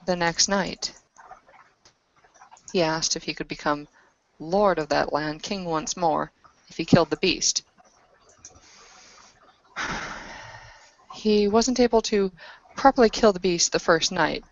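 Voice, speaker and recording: Woman, low register, improvised roleplay storyteller, halting delivery with pauses, slightly muffled lo-fi sound. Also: dry recording. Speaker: game master and player narrating a tabletop roleplaying session. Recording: dull lo-fi audio.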